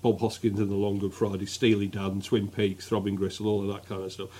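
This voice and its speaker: A man's voice: on the low side, little pitch movement, fairly quick, warm tone, slightly rough. A man co-hosting a casual podcast, sardonic and dry as he reads out tweets. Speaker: podcast co-host. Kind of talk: casual comic chat.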